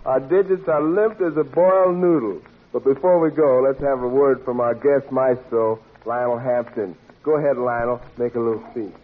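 A man's voice: mid-range voice, moderate pace, few pauses. A man hosting a 1940s radio show with playful hipster slang and mock-fancy jive patter. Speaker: substitute radio show host. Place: radio studio. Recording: noisy narrow-band old radio recording.

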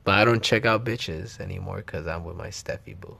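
A man's voice: sits low, fairly quick, gravelly, sharp tone. A young man from the Los Angeles area vlogging in casual chat with his girlfriend, joking and cursing freely with slang.

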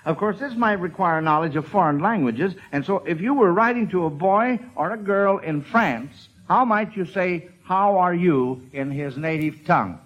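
High-voiced man hosting a vintage radio quiz. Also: animated, moderate pace, few pauses, a bit bright. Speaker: quiz show host. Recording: dull vintage radio broadcast recording.

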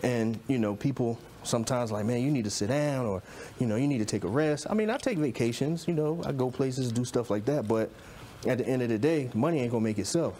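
A man's voice: medium pitch, brisk, mellow, a little hiss or hum. A man in his late thirties chatting casually as a chef being interviewed. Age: late 30s, nearly 40. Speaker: celebrity chef and caterer, guest.

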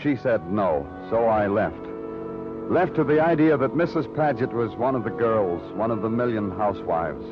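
Weary male detective played by an actor, low, terse and probing, vintage radio crime show, muffled with music behind. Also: animated, normal speed, close to an everyday voice. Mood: brooding narration. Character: weary, probing police detective narrating his own cases. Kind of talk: 1950s radio crime drama acting.

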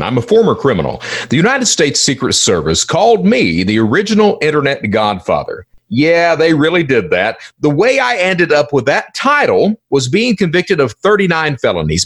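A man's voice: on the high side, fairly bright tone, slightly rough. A middle-aged man delivering an informal solo podcast monologue as host, in a theatrical, folksy and emphatic manner with rhetorical questions.

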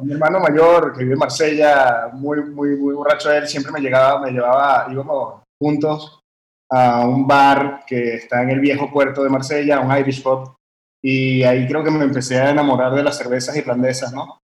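A man's voice: mid-range voice, level tone, moderate pace. A man born in Venezuela, a brewer telling his story in a conversational interview, rambling with many fillers and restarts. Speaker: guest brewer. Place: warm room with fans running. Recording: echoey room, faint background hum.

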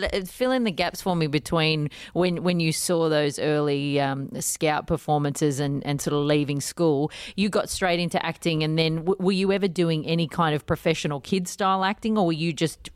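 A woman's voice: low-pitched, normal speed, hardly any pauses, smooth, no room sound, clean studio sound. A woman, interviewing a guest with curious, encouraging questions. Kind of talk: conversational interview.

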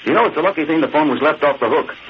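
A man playing a brash, excitable, slangy newspaper reporter in 1940s radio drama. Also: on the high side, natural voice. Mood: wry. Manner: slangy, fast-talking. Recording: muffled, hissy old radio recording.

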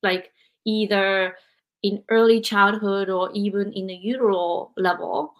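A woman's voice: medium pitch, steady tone, unhurried. A woman in her forties from Japan, hosting a podcast interview and reflecting aloud, often saying "like" and "you know". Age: 40s. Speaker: podcast host. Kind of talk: interview conversation.

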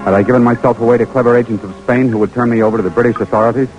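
An actor with an American accent playing an eager young lieutenant on a secret mission, in 1940s radio drama style, on an old recording. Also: male voice, medium pitch, fairly even intonation, rapid-fire, highs cut off, loud music behind the voice, lightly acted. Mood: tense, exhilarated. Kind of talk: 1940s radio drama acting and first-person narration.

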